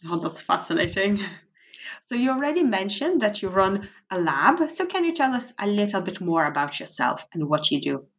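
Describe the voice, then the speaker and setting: A woman's voice: mid-range voice, sing-song, moderate pace. A woman interviewer asking questions with curious interest.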